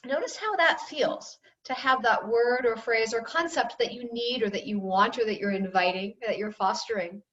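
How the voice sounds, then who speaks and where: Female yoga teacher, soothing and gently instructive, recorded from a distance with slightly muffled sound. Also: fairly high pitch, normal speed, few pauses, echoey room.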